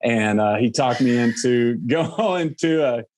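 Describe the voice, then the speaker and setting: A man's voice: mid-range voice, takes it slowly, a little mellow. A man answering as a guest on a podcast, speaking in an earnest, reflective way with "um" fillers as he gathers his thoughts. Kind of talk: conversational interview answers.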